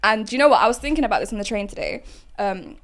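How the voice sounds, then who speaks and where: A young woman hosting a podcast, chatty and quick to laugh, using casual slang. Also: mid-range voice, big pitch swings. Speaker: podcast host.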